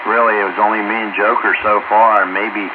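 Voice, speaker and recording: A man's voice: on the low side, little pitch movement, brisk. A man chatting casually over amateur radio in a folksy, easygoing manner. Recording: muffled radio transmission, heavy static.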